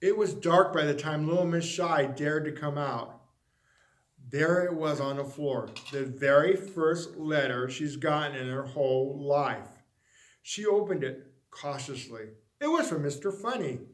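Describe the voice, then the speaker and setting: A man reading a children's story expressively, with dramatic, drawn-out emphasis on words. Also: a little high, echoey room. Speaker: story reader.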